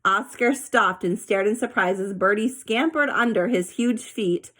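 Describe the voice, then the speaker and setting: A woman reading a children's story aloud with an expressive, animated delivery. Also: medium pitch, unhurried. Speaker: storybook reader.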